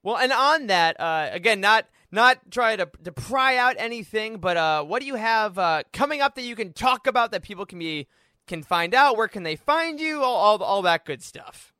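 A man's voice: high-pitched, expressive, normal speed, bright, forceful tone, clear, resonant tone, no room sound. A man hosting a podcast in a casual, upbeat, enthusiastic interview style.

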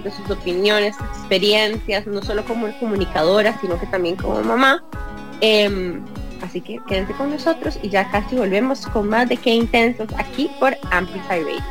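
A woman hosting a radio talk show and leading into a commercial break. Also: on the high side.

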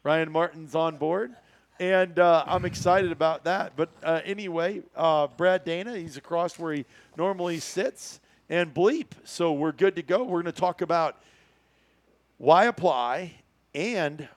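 A man's voice: fairly high pitch, measured pace, dry recording. A middle-aged man with an American accent hosting a podcast in a casual, chatty, joking way with folksy exclamations. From Iowa, United States. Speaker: podcast host.

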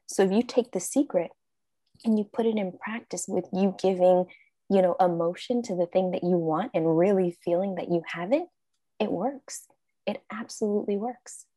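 Husky, mellow-voiced woman. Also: medium pitch, moderate pace, no echo. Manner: animated, acts out her own quoted thoughts, frequent laughs. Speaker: life coach, interview guest. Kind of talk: casual interview talk.

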